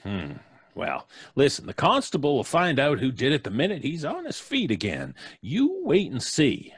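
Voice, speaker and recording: Casting: blustering skeptical small-town doc, husky, extremely animated pitch, radio drama. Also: male voice, normal speed, no room sound, clean studio sound, heavily acted. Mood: testy, rattled. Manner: blustery, exasperated, folksy. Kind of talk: old-time radio drama acting. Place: home recording, live remote video call.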